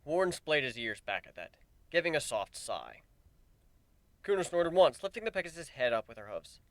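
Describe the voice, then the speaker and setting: A man's voice: on the high side, sing-song, normal speed, dry recording. A man narrating a story as a scripted dramatic reading.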